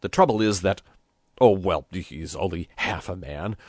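A man voicing a hot-headed, blustering village mayor in a storybook, loud, exasperated and given to oratory. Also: low-pitched, measured pace, no room sound, clean studio sound. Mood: awkward, pleading.

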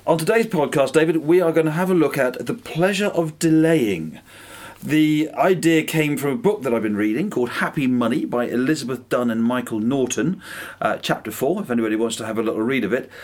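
A man co-hosting a relaxed podcast, upbeat and teasing. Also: medium pitch, fairly quick. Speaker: podcast co-host. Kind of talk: casual chat. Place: home room.

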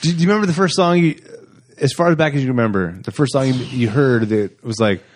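A man's voice: mid-range voice, a little fast, slight background noise. A man hosting a podcast who asks short follow-up questions and laughs often in casual chat. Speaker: podcast host.

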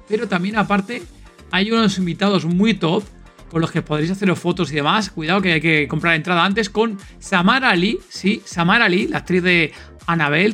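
A man's voice: high-pitched, bright, forceful tone. A man with an accent from Spain hosting a podcast in an informal, enthusiastic, chatty way. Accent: Spanish from Spain. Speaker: podcast host. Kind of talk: casual podcast announcements and chat.

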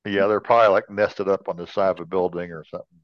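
A man's voice: low-pitched, fairly even intonation, quick pace, dull audio, background noise. A man co-hosting a casual podcast, bantering and cracking jokes as he chats. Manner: jokey. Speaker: podcast co-host.

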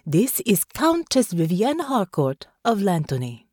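A woman's voice: medium pitch, very animated, measured pace, darkish tone, slightly rough, no echo, studio quality, voice pushed up. A woman voicing a courteous androgynous noble making formal introductions. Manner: polite, formal.

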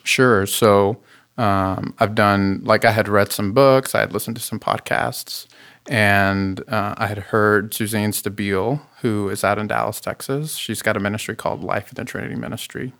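Guest, man, 30s: deep, slightly gravelly, easygoing and sincere storyteller. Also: moderate pace. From Chile. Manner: earnest, relaxed storytelling with frequent fillers. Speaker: podcast guest, therapist and youth pastor. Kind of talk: casual interview talk.

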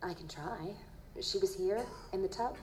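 A woman playing an earnest, gentle psychic in an audio drama, speaking in a hesitant, solemn manner. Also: mid-range voice, moderate pace, lightly acted. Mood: uneasy, intense. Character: earnest, gentle female psychic who reads ghostly impressions. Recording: live performance with background noise.

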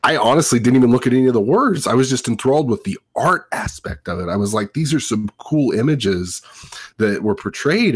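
A man's voice: lowish voice, breathy edge, no echo. A man in his thirties telling personal stories casually as a podcast host, in a rambling, enthusiastic way. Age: 30s.

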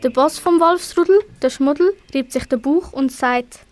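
A woman's voice: high-pitched, normal speed, a little mellow, soft background music. A woman with a Swiss German accent narrating a scripted children's story for an amateur radio play.

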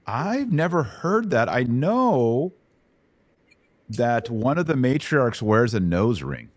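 A man's voice: medium pitch, big pitch swings, takes it slowly, no room sound. A middle-aged American man hosting and teaching in a casual live Bible Q&A, with a folksy, informal manner.

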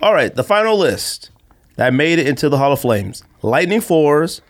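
A man's voice: a little high, very animated, normal speed. A man hosting a podcast in casual banter, with a teasing, opinionated manner. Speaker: podcast host.

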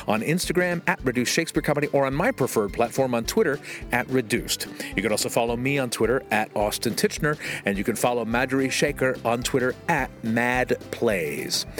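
Man, medium pitch, podcast host, scripted sign-off, faint music behind.

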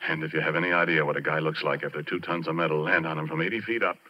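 An actor playing a haunted, plain-spoken oil rig roughneck, telling his story haltingly and colloquially in 1940s radio drama style. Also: a bass voice, fairly even intonation. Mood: grim recounting. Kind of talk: 1940s radio drama acting, first-person narration. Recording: muffled vintage 1940s radio recording, organ-era music.

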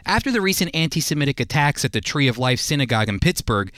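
A man's voice: medium pitch, sing-song, fast talker, a bit bright. A man hosting and teaching a Bible radio program in an explanatory, emphatic way, working from a script.

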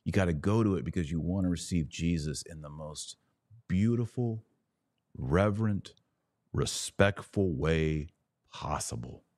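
An American man hosting a podcast, giving religious commentary off the cuff in an emphatic, preachy way with deliberate pauses and repetition. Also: deep voice, a little mellow, dry recording. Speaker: podcast host.

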